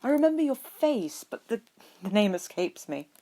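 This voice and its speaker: A woman's voice: mid-range voice, big pitch swings, moderate pace, dark tone, voice pushed up. A woman plays a young military man in an audio story, worn out and hungover. He speaks dryly and wearily, politely reluctant to be drawn into anything. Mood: awkward, apologetic. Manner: dry, weary, reluctant. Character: tired, hungover, dry-humoured young army man.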